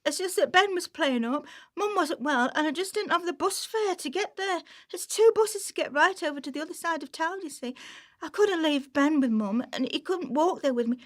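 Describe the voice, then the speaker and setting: A woman's voice: sits high, expressive, moderate pace. A woman playing an anxious, struggling young mother on benefits, pleading and flustered, in a radio play.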